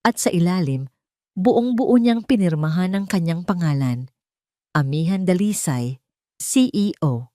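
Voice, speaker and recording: A lower-pitched woman tells a romantic drama in a measured, heartfelt voice. Her narration is close-miked, with a dry sound and no room around it. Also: warm tone, no echo. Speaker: storyteller.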